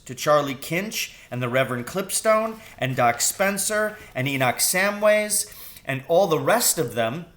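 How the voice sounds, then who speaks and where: A man's voice: on the high side, fairly bright tone, pitched above the performer's own voice. A man voicing an exuberant, boastful, loving father in a children's story, with grand, emphatic declarations. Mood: excited.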